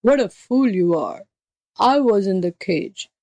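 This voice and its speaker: A woman's voice: mid-range voice, animated, measured pace, no echo. Woman as a growling, impatient storybook tiger. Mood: exasperated rage. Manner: haughty, forceful, exasperated. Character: savage, impatient male tiger, bullying and scornful.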